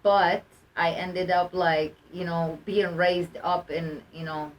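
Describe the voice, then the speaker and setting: A woman's voice: lowish voice, steady tone, takes it slowly. A young woman from Egypt, chatting casually as a podcast guest and leaning on fillers like "like" and "you know.". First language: Arabic. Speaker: guest, musical artist. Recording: roomy sound, mic at a distance, faint noise.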